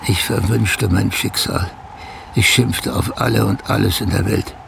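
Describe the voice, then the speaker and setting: An actor playing a weary, bitter male shepherd who gravely recounts hardship in a radio drama. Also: lowish voice, steady tone, a little slow, background noise. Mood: despairing. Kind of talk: radio drama first-person narration. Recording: music under the voice.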